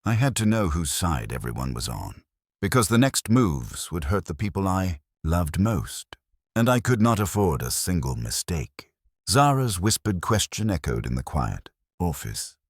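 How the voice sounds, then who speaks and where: A man reading a scripted dramatic first-person story as narrator, in a measured, solemn manner. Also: deep voice, sing-song, breathy edge, no room sound, studio quality.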